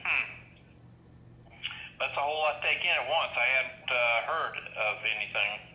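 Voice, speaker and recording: A man's voice: medium pitch, normal speed, bright, forceful tone. A man answering an official police phone call, speaking in a blunt, procedural way. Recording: echoey telephone line with faint noise.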